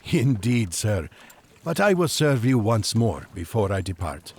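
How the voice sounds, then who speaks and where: Devout ancient magian on a quest, deepish, formal n solemn, really expressive delivery, audio drama. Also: male voice, unhurried, a little hiss or hum. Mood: solemn, earnest. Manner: solemn, formal, old-fashioned speech. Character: earnest, devout Magian traveler on an urgent quest.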